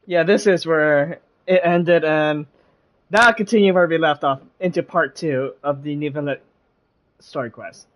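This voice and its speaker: A man's voice: on the high side, a little slow, low-quality recording. A man giving casual live commentary while streaming a video game. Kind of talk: casual live stream commentary, reading some dialogue aloud. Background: video game music.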